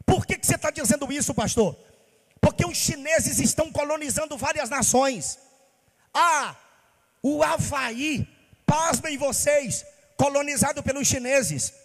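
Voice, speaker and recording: Brief: man from Bahia, pastor, very high voice, impassioned sing-song preaching, long hall reverb. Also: a little slow, a bit bright. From Bahia, Brazil. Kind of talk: sermon.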